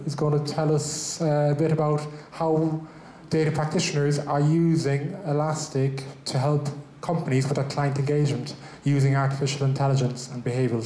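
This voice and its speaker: A man's voice: medium pitch, a little mellow. An Irish man in his thirties opening a tech meetup as host, speaking loosely into a handheld mic with many fillers and restarts. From Ireland. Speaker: event host, head of technology. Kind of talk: welcome speech at a tech meetup. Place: large event room with a small stage. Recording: echoey event room.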